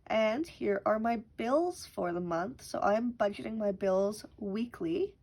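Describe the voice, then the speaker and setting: A woman's voice: medium pitch, animated, takes it slowly, darkish tone. A young woman who is sick with a cough. She chats casually while counting cash aloud as host of a budgeting channel at home. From Canada. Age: young adult. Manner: chatty, counts money aloud.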